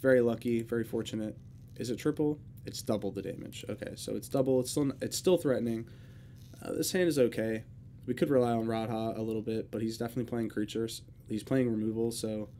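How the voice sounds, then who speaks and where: A young man with a congested voice and a sore throat, casually talking through a digital card game as he plays and thinks out loud. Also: medium pitch, slight background noise. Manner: relaxed, thinking aloud while playing. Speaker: gaming video creator.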